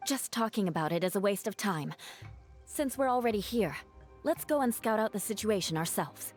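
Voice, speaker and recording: A confident young female dancer from a fantasy game, played by an actress, with an average-pitched voice. She talks in an assured, direct and encouraging way. Light music plays behind. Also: expressive, normal speed, a little mellow, close to an everyday voice. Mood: brisk, direct. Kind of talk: video game voice acting.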